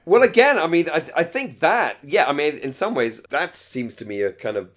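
A man's voice: a little high, animated, moderate pace. A middle-aged man co-hosting a casual discussion podcast, thinking aloud in a rambling, hesitant way with many false starts. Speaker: podcast co-host. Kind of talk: casual discussion of a radio drama.

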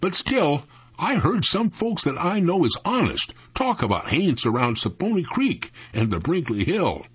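A man's voice: fairly high pitch, big pitch swings, unhurried, fairly bright tone, husky, no echo, dull audio, pitched above the performer's own voice, full character voice. A man voicing a frightened country man in a spooky story, with a rural Southern US accent and a quavering, folksy, panicky way of talking. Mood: nervous, hesitant. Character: frightened, trembling country man, wary of ghosts. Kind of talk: dramatic storytelling.

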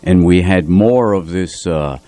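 An older man with a deep voice, recorded dry in a radio studio. He reminisces conversationally as a music show host, phrasing things deliberately with halts. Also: mellow, no echo.

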